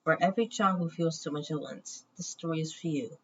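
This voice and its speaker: A woman reading a children's story aloud in measured short phrases with pauses, on a muffled, noisy recording. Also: deep voice. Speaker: children's book reader.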